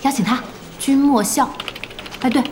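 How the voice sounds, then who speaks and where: An actress playing a feisty, bossy young internet cafe boss in an audio drama, scolding and teasing with lots of exclamation. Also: female voice, high-pitched, moderate pace, a little mellow, a little hiss or hum, lightly acted. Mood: commanding. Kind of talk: Chinese audio drama acting.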